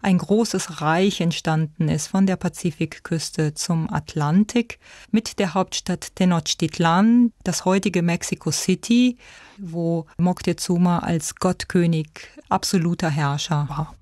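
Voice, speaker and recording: A woman's voice: on the low side, steady tone, a little slow, a little mellow, no echo, clean studio sound. A woman speaking as an interviewed expert, explaining in a spontaneous, informative way. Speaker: ethnologist, museum director, interviewed expert.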